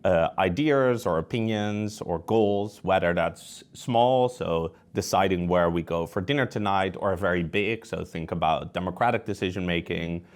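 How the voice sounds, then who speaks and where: A man's voice: low-pitched, moderate pace, few pauses. A man with a Dutch accent, a researcher giving conversational, explanatory answers as an interview guest. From Netherlands.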